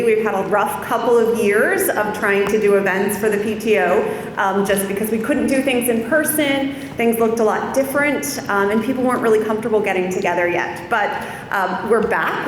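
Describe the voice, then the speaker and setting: A woman with a mid-range voice gives an informal presentation to an audience as a parent organization chair. The sound is strongly echoey, like a big hall, with faint noise underneath. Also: medium pitch.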